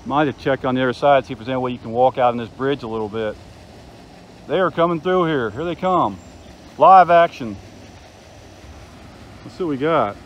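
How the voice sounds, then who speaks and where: A man's voice: mid-range voice, normal speed, long pauses, dull audio. A man in his fifties narrates his own outdoor walk along a river, talking into a handheld phone. He sounds chatty and enthusiastic as he points things out, and light outdoor noise sits behind his voice. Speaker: vlogger. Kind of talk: casual outdoor video tour.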